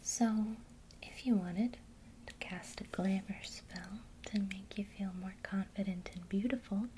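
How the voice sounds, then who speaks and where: A woman whispering softly and calmly through a guided ASMR lesson on casting a spell. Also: medium pitch, slight background noise, rough recording. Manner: soft unvoiced whisper, slow and calm. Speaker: ASMR creator teaching witchcraft.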